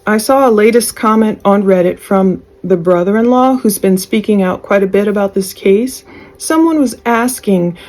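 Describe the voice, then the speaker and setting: A woman's voice: medium pitch, normal speed, darkish tone, rough recording. A woman presenting true crime news updates in a conversational, speculative way, speaking directly to viewers.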